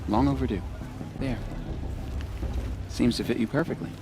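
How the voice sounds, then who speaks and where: A man acts a friendly, modest young tailor from a tribe in a video game. His mid-pitched voice is gentle and earnest with light humour, over outdoor background noise. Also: moderate pace, lightly acted. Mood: good-humoured. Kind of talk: conversational video game acting.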